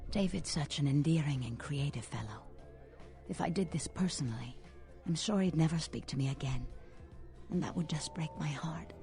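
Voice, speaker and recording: A seductive female vampire from a video game. Her voice is soft-edged and mellow, with theatrical, teasing sorrow that slips into whispers. Faint music plays behind her. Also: sits low, moderate pace. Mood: coaxing, wistful. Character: seductive female vampire club owner, dramatic and possessive.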